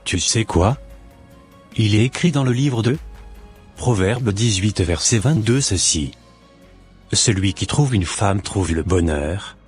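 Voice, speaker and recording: A man's voice: fairly low pitch, expressive, slow pace, long pauses, darkish tone, husky, soft background music, exaggerated voice. A man voicing an earnest, courteous and easily charmed husband in a scripted cartoon, with formal phrasing. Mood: devoted.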